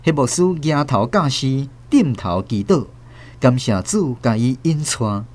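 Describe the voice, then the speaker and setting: A man's voice: medium pitch, very animated, measured pace, a little mellow. A man with a Taiwanese accent narrating a radio drama as a scripted, dramatic storyteller.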